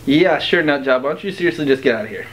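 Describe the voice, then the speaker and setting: Guy playing annoyed slangy dude in his 30s, kinda high voice, sarcastic, noisy room audio, amateur film. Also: lively intonation, quick pace, lightly acted. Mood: irritated, sarcastic. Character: exasperated, sarcastic young guy missing his beer. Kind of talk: amateur short film acting.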